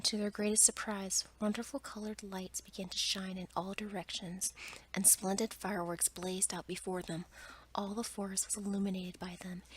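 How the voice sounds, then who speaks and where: A woman softly whispering a bedtime story in ASMR style, with a breathy, gentle delivery. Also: mid-range voice. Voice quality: whispered, breathy, mostly unvoiced. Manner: soft, gentle reading with little change between characters. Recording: noticeable background noise.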